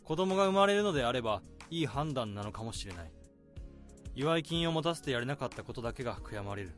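An actor narrating as a weary, overworked male office worker in a radio drama, reasoning things out in a measured way. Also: medium pitch, very animated, soft background music, close to an everyday voice.

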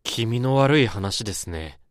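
A man's voice: lowish voice, animated, measured pace, no room sound, clean studio sound. A man acting a polite, puzzled and troubled junior office worker in a Japanese radio drama, speaking hesitantly.

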